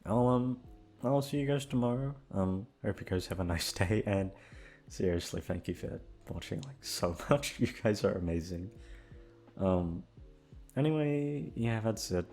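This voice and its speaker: A man's voice: fairly low pitch, unhurried, vocal fry, darkish tone. A young man chatting casually to his listeners in an informal, rambling, sweary way. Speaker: audio channel host. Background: guitar music.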